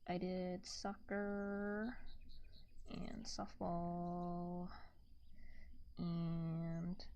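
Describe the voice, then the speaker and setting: A soft-spoken woman hosting a casual ASMR art livestream, rambling gently to viewers into a close binaural microphone. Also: lowish voice, completely flat delivery, extremely slow. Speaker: livestreaming artist and host. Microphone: close binaural ear microphone. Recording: binaural ASMR mic with background noise.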